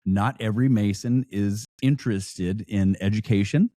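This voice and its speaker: A man's voice: lowish voice, normal speed, mellow. An American man in his late forties chatting casually as a podcast guest, explaining through anecdotes. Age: late 40s. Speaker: podcast guest, lodge educator.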